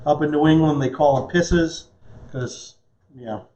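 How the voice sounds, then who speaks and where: A man's voice: a little high, unhurried, warm tone. Joking middle-aged man, echoey kitchen sound. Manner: joking, conversational. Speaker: chef and cooking show host. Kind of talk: casual live cooking demonstration. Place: home kitchen, indoors.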